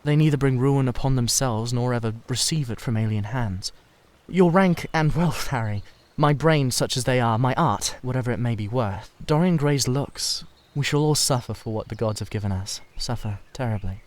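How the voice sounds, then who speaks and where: A man voicing a sensitive, secretive young painter in a dramatised audiobook, earnest and halting as he confides. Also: medium pitch, close to an everyday voice. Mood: resigned, brooding.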